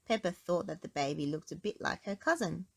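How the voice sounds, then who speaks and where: Animated woman reading a children's story. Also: lowish voice, brisk, creaky, slightly rough. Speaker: storybook reader. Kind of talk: expressive read-aloud narration for children.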